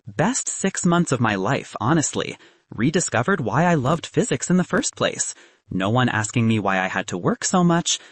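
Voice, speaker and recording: A man's voice: mid-range voice, very animated, normal speed, breathy edge, no room sound. A man voicing a young woman physicist in an audiobook, confident and frank, with a dry, advice-giving manner. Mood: wry, upbeat.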